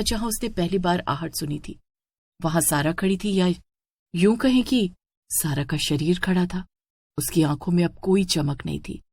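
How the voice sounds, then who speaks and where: A woman's voice: fairly low pitch, darkish tone, dry recording. A woman giving a dramatic scripted narration of a horror story.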